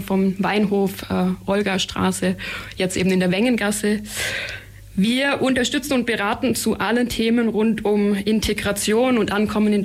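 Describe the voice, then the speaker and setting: A woman who works as a counselor for young migrants, a guest on a radio show, explaining her work fluently with frequent fillers. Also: medium pitch. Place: radio studio.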